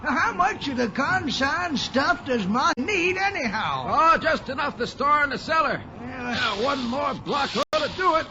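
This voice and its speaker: A performer playing a hardy rustic New England youngster in a 1940s radio drama, calling out loudly with drawn-out words. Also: sits high, lively intonation. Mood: weary, straining. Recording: muffled noisy 1940s radio recording.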